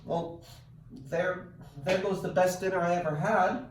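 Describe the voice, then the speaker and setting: A man's voice: high-pitched, fairly even intonation, measured pace, a little hiss or hum, voice pushed up. A man voicing a generous, earnest woman cook from a children's storybook. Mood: sad, apologetic. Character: generous, gentle, earnest woman who cooks stew. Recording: echoey room, distant microphone.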